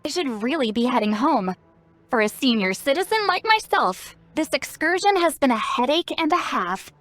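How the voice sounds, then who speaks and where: Youthful-sounding granny character, high animated voice, teasing, shrewd, caring, game dialogue, soft music behind. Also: female voice, moderate pace, dry recording. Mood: playful. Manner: playful, knowing, refers to self as granny. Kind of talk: scripted video game dialogue.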